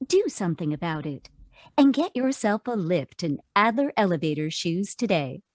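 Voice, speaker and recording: A woman's voice: lowish voice, very animated, moderate pace, no echo, studio quality. A woman reading a scripted vintage radio advertisement with a persuasive sales delivery. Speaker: commercial announcer. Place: remote video call performance.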